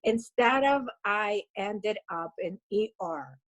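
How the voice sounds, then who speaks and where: A woman's voice: mid-range voice, expressive, takes it slowly. A woman in her fifties with a Russian accent, a guest telling her personal story in an emotional, upbeat way. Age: 50s. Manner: emotional, upbeat, uses "like" and "you know" a lot. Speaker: interview guest.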